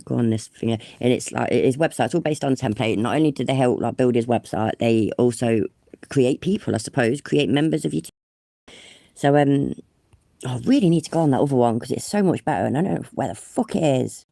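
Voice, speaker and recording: Woman, blocked-up with a cold, dark gravelly voice, chatty and sweary, phone livestream. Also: extremely low pitch, animated, fairly quick, no room sound, clean studio sound. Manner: chatty, sweary, self-deprecating. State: congested with a cold. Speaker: livestream host. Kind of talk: casual live stream chat.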